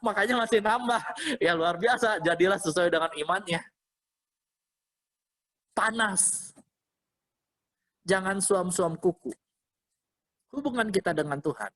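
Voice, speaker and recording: A man preaching as a pastor in an informal, interactive way, repeating phrases and checking in with listeners. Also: sits high. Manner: interactive, often checking with listeners using short questions; animated, exhorting, repeats phrases. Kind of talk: informal conversational sermon to an online congregation.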